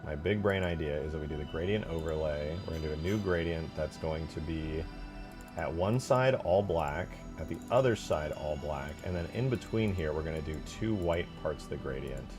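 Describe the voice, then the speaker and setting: A man's voice: a bass voice, normal speed. A man livestreaming a game modding session in a casual, slangy, jokey way, thinking aloud as he works. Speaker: live streamer and game modder. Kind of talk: casual livestream chat and screen tutorial. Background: music.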